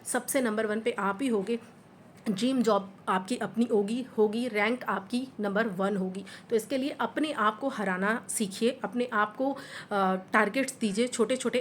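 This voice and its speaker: A young woman with a hoarse voice from a sore throat, giving earnest, encouraging study advice to the camera as a housewife vlogger, mixing English words into her Hindi. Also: mid-range voice, slight background noise. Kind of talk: motivational talk to camera.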